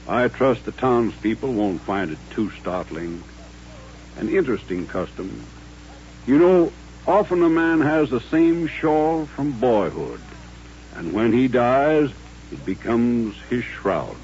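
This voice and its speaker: An actor playing a proud, devout old colonel with formal, measured, courteous speech in a radio western. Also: male voice, medium pitch, animated, a little mellow. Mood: calm, courteous. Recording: muffled old radio recording with hum.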